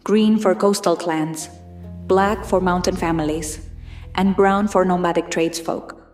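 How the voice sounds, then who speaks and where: Low-voiced woman narrating, roomy sound. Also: steady tone, a little slow, darkish tone, soft background music. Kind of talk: scripted documentary-style narration of fantasy lore.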